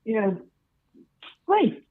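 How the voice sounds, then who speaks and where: A woman calling in to a podcast, polite and a little hesitant. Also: very slow. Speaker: caller. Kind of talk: phone call-in. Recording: tinny phone line.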